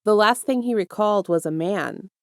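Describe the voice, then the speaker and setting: A woman's voice: medium pitch, normal speed, dry recording, clean studio sound. A woman reading scripted fiction aloud as the narrator of an audio story. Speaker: podfic narrator.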